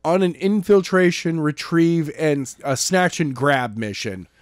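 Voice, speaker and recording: A man's voice: fairly high pitch, measured pace, clean tone, dry recording, studio quality. A man chatting casually as a podcast co-host, playful and wisecracking. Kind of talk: casual joking discussion.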